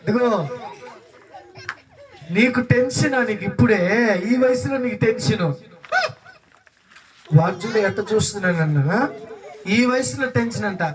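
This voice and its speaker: A woman's voice: on the high side, normal speed, pauses often. A woman presenting a children's puppet show with playful banter and teasing mock scolding. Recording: distant mic, noisy crowd of children. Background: crowd of children.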